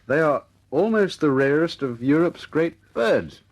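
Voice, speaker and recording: A man acting in a radio drama as a cocky, jovial air force officer and ladies' man, husky-voiced and playful. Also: fairly high pitch, expressive, measured pace. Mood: amused, mock-solemn.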